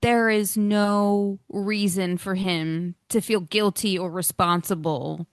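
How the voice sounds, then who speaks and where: A woman's voice: medium pitch, steady tone, takes it slowly, clear, resonant tone, no echo, studio quality. A woman co-hosting a podcast, talking casually and sympathetically in a hesitant, conversational way. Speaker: podcast co-host. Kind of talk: casual discussion.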